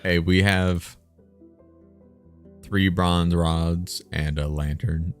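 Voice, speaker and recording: A man's voice: deep voice, steady tone, extremely slow, clear, resonant tone, faint music behind. A man livestreaming a game, chatting casually with goofy, profane banter. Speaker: livestreamer reading an interactive story game aloud. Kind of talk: casual joking chat and read-aloud.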